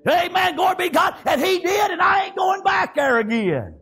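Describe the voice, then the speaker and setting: Shouting high-pitched Southern American male preacher. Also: big pitch swings, normal speed. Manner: exclamatory, peppered with repeated praise interjections; folksy, colloquial. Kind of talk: fervent revivalist sermon.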